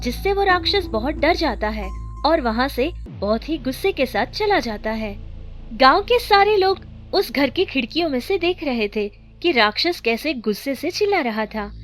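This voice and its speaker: A woman narrator reading a scripted children's story. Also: high-pitched. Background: music.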